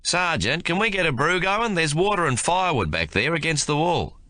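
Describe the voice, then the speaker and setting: Man putting on a higher, sheepish young private, apologetic, rough-spoken. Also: sing-song, moderate pace, bright, forceful tone, no room sound, clean studio sound. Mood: eager. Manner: apologetic, rough working-class speech.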